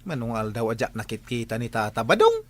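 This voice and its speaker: An actor playing a blustering, stammering comic village captain in a radio comedy, with an Ilocano Filipino accent. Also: male voice, medium pitch, wildly swooping pitch, fairly quick. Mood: bluffing, curious. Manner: stammering, exclaiming, rambling.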